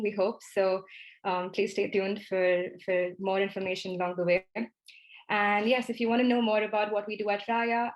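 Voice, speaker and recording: Female webinar moderator, medium pitch, flat intonation, formal and polite delivery. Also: poor audio. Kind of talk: formal closing remarks.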